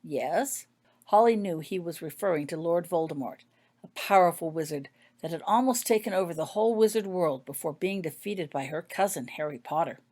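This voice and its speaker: A woman's voice: lowish voice, animated, fairly quick, darkish tone. A woman reading her own fiction aloud as scripted story narration.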